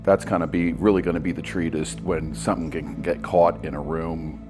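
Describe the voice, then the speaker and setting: A man's voice: sits low, level tone, moderate pace, music in the background. A man, a paranormal investigator, thoughtfully explaining how investigating works.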